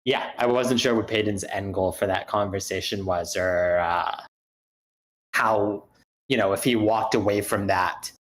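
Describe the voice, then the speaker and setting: A man's voice: on the low side, steady tone, measured pace, fairly bright tone. A man giving spoken script feedback in a group discussion, speaking hesitantly and circling back to rephrase his points.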